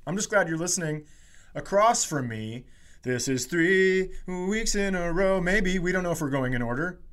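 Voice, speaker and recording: A man's voice: high-pitched, lively intonation, normal speed, a bit bright. A man hosting a podcast in loose, joking, sometimes profane banter. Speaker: podcast host.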